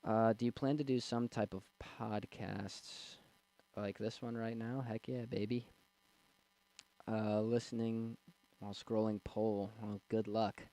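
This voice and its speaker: A man in his twenties with a young, slightly squeaky voice, casually rambling and answering chat on a livestream. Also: steady tone, measured pace, warm tone, low-quality recording. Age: 20s. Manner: rambling, with fillers and restarts. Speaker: streamer reading chat. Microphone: desk mic.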